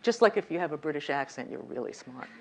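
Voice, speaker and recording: A woman with a slightly high voice giving a lively lecture on communication, often turning to the audience with questions. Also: fast, background noise. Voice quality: voice a little high, by her own account. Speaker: communication workshop speaker. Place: lecture room.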